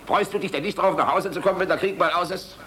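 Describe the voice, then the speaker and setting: An older man playing a gruff, fatherly, world-weary army general in a German radio play, blustery and philosophizing, shifting between barking and tenderness. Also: high voice, fast talker, sharp tone, a little hiss or hum. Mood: earnest, fatherly.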